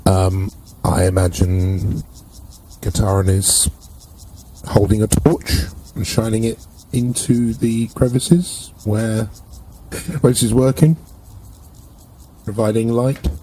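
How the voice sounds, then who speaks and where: Lowish male tabletop player, noisy recording. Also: fairly even intonation, takes it slowly, long pauses, dark tone. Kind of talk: casual improvised roleplay narration.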